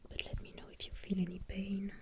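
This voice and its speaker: A woman whispering softly and breathily in an ASMR roleplay as a gentle, reassuring examiner who takes her time. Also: mid-range voice, monotone, quick pace. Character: gentle, attentive ear and skin examiner. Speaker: ASMR performer playing a caregiver.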